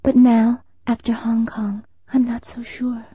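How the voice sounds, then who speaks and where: A man voicing a poised, sharp-tongued young female film star in an audiobook, with quick, teasing retorts. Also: sits high, expressive, no echo, pitched above the performer's own voice. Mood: hesitant. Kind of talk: audiobook character voice.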